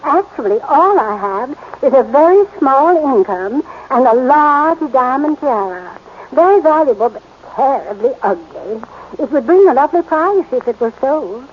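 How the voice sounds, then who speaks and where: A dainty old society lady, high fluttery voice, super polite, 1940s radio, muffled and a bit hissy. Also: animated, normal speed. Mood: earnest, fluttery. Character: genteel, sweetly earnest seventy-year-old society lady.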